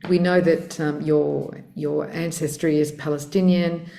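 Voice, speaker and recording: Woman host, low voice, mellow tone, hesitant questions, echoey room. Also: little pitch movement, a little slow, creaky. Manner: hesitant, soft backchannel murmurs. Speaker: interview host. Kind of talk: conversational interview questions.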